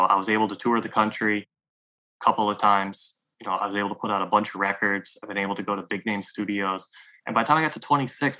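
A man's voice: on the low side, sharp tone, poor audio. A man in his late thirties, a musician guest on a podcast, talking reflectively in a rambling way with lots of fillers. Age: 30s. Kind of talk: casual conversation.

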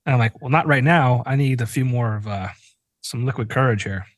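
A man's voice: mid-range voice, brisk, no echo, clean studio sound. A man in his forties from northeastern Pennsylvania, hosting a podcast and telling self-mocking stories in casual chat. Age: 40s. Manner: animated, self-mocking storyteller who swears freely. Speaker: podcast host.

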